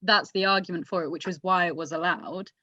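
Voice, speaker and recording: A woman's voice: fairly low pitch, a little fast, pushed and bright, dry recording. A woman on a podcast panel discussing sport with opinions, readily correcting and rephrasing points in an argumentative way. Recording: slightly muffled lo-fi, dry.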